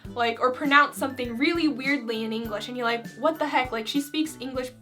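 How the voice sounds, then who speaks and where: A young woman from Finland chatting to her viewers in a bright, slightly high voice. She talks loosely and freely, with plenty of "you know" and "like" fillers. Soft music plays faintly under her. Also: fairly quick. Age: young adult. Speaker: vlogger. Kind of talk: casual chat to camera.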